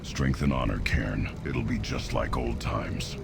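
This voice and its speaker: Casting: hulking stoic half-ogre champion, extremely deep and heavily gravelled, terse, game epic. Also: male voice, steady tone, a little slow, loud music behind the voice, full character voice. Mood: stoic, resolute. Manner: terse, solemn. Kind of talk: video game character acting.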